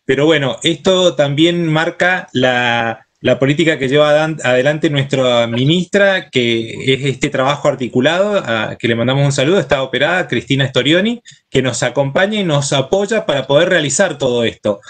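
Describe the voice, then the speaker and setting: A middle-aged man with an Argentine accent gives informal thank-you remarks on a video call, in a halting way with repeated words. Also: medium pitch, expressive, a bit bright. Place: indoor room with bookshelves. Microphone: computer mic on a video call.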